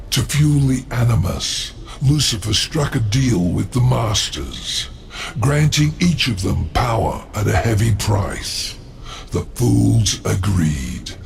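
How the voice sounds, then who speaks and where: An actor playing a sly, scheming demon lord in a video game, with a smug, deliberate, theatrical delivery. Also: male voice, fairly low pitch, vocal fry, a little mellow, a little hiss or hum, heavily acted.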